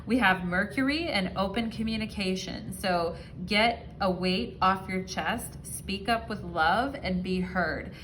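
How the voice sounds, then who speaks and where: A woman's voice: medium pitch, measured pace, few pauses, fairly bright tone, a little hiss or hum, low-quality recording. A woman giving a casual, rambling tarot reading to her viewers, often checking in with "okay" and "you know". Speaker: tarot reader.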